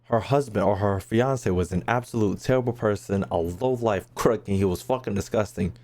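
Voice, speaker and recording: Deep-voiced man doing crude gameplay commentary. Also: moderate pace, darkish tone. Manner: crude, slangy. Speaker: gaming video host. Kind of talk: casual joking gameplay commentary.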